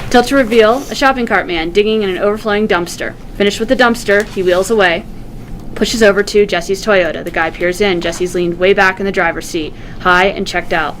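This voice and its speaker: Lady reading stage directions at a table read, middle pitch, bit of room noise. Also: brisk, low-quality recording. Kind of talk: scripted narration at a table read.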